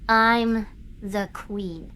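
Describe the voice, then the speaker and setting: Audio drama role, female actor: vengeful young idol, mid-pitched, unhurried, imperious and bitter, slight noise behind. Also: fairly even intonation, fairly bright tone. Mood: haughty. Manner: imperious, bitter, theatrical, deliberate. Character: proud, vengeful young female VTuber star alter ego. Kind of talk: dramatic acted monologue, fan audio drama.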